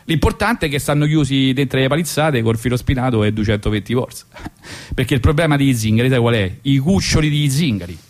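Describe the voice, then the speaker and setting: A man with a Roman accent tells comic stories on a radio show. He rambles ironically from one aside to the next. His voice sits at an ordinary middle pitch. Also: animated, a little fast. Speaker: radio host. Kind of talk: comic storytelling monologue. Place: radio studio.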